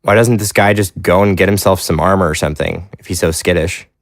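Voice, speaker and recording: An actor playing a bored, restless teenage son in an audio drama, talking casually and pushing for company. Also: male voice, sits low, steady tone, a little fast, dry recording, clean studio sound.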